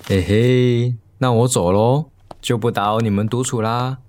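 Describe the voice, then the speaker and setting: A man's voice: medium pitch, steady tone, a little slow, a little mellow, a little hiss or hum, close to an everyday voice. An actor playing a playful, teasing, easily flustered young male coworker in a Mandarin audio romance drama. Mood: playful grumbling.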